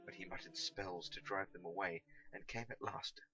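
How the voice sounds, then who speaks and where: A man reading a storybook aloud as an expressive narrator. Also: sits low, normal speed, crowd noise, poor audio. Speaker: audiobook reader.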